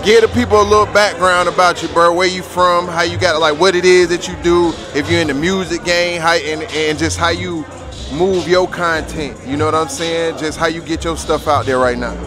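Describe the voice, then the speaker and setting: Easygoing young podcast host asking questions. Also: male voice, a little high, fairly even intonation, normal speed, over music. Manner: easygoing questioner, lots of yeah yeah. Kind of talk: casual hip-hop podcast interview. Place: event venue lounge, indoors. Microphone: handheld mic.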